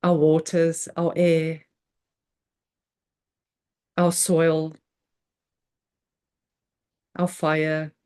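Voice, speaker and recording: Woman, meditation host, low pitch, mellow dark timbre, halting short phrases, reverent spiritual talk. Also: completely flat delivery. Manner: measured, halting delivery in short phrases with pauses. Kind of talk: unscripted spiritual talk introducing a guided meditation.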